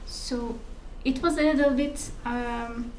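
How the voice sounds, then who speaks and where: A woman's voice: on the high side, fairly even intonation, slow, darkish tone. A Turkish-accented woman answering as a conference panelist, hesitant and searching for her words in English. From Turkey. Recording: echoey room, distant mic, faint noise.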